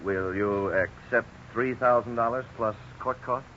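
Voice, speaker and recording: Bright-voiced man acting an earnest radio-drama lawyer. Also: medium pitch, fairly even intonation, unhurried, natural voice. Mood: courteous, formal. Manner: polite, businesslike. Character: earnest male lawyer negotiating for his client. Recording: muffled, hissy 1950s radio recording.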